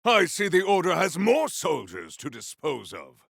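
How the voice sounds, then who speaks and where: A man voicing a grandiose, battle-hungry warrior in a video game, with a rough, gravelly voice and bombastic, theatrical delivery. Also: on the high side, wildly swooping pitch, a little slow, a bit bright, dry recording, studio quality, exaggerated voice. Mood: battle fury. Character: grandiose, battle-hungry male warrior with fire and ice powers. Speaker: playable game character. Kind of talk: video game combat barks.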